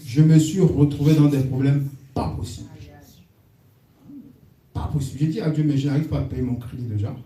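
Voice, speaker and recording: A man tells his story to the congregation in an earnest, animated way. His voice is very dark and mellow. He is picked up from across an echoey church hall, with a faint hiss underneath. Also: medium pitch, normal speed, long pauses, far from the mic. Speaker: congregant giving testimony. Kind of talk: spontaneous church testimony. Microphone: distant room mic.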